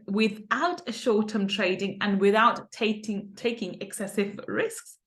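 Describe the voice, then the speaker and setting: A woman's voice: a little high, bright, forceful tone. A woman hosting a podcast interview, effusive and upbeat, often laughing as she introduces and questions her guest. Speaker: podcast host.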